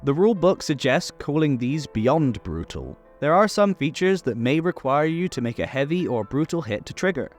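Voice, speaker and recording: A man's voice: fairly high pitch, very animated, normal speed, hardly any pauses. A man presenting a tabletop game rules guide in a methodical, scripted explainer style. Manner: clear, methodical instructional delivery. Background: background music.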